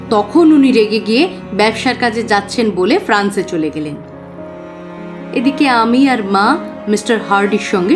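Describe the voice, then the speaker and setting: An actress playing an earnest young working woman who confides expressively and with pauses in an audio drama. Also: medium pitch, lightly acted. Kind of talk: dramatised audio story acting.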